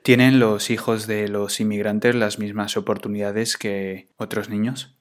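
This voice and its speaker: A man asking interview questions in a measured, deliberate way. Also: fairly low pitch, flat delivery.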